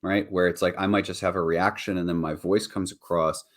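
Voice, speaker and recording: A man with a very deep voice co-hosting a podcast, talking earnestly and reflectively, peppering his points with "right?" and "like". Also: steady tone, a little fast. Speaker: podcast co-host. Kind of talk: casual earnest discussion.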